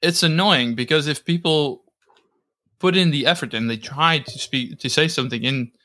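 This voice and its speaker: A man hosts a podcast in a relaxed, chatty way. His voice has a bright, forceful edge, and he sounds enthusiastic. He often repeats a word or two before getting his sentence going. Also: fairly high pitch, moderate pace. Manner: enthusiastic, repeats words when starting. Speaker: podcast host. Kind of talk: casual chat.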